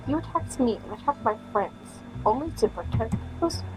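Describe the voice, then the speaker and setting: A woman's voice: fairly high pitch, expressive, normal speed, over music, natural voice, low-quality recording. A woman voicing the confused, distrustful and sarcastic human protagonist of a visual novel. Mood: confused, nervous.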